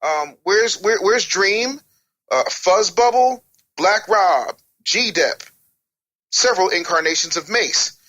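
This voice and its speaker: Bright, forceful, higher-pitched American man. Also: expressive, slow pace, dry recording, low-quality recording. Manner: emphatic, blunt, slangy, rhetorical questions. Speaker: author and guest, former radio producer. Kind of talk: opinionated podcast interview talk.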